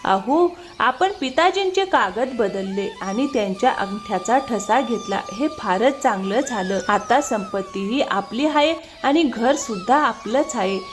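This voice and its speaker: A woman's voice: fairly high pitch, expressive, measured pace, hardly any pauses, soft background music. A woman voicing a greedy, smug, scheming village wife in a cartoon moral story. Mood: smug, pleased.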